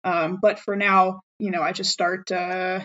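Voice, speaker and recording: Muffled-ish mic, lady player in a tabletop game, chatty, animated, thinking out loud. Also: lowish voice, unhurried. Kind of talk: casual improvised roleplay chat.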